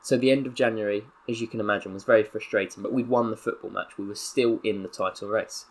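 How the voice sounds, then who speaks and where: A man's voice: on the low side, quick pace. A young man with a Southern English accent, playing a career-mode footballer who reads out a mock-solemn press statement. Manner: mock-solemn with bursts of excitable commentary. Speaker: gaming YouTuber.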